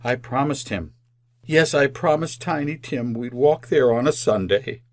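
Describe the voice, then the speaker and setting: An actor playing a gentle, grieving father and husband in old-time radio drama, tender and halting. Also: medium pitch, close to an everyday voice. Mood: weary, tender.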